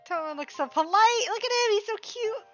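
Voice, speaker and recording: A woman's voice: very high-pitched, normal speed, lo-fi sound, faint music behind. A young woman chatting casually and expressively to herself as she streams a video game. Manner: expressive, self-talking, playful. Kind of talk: casual live gameplay commentary.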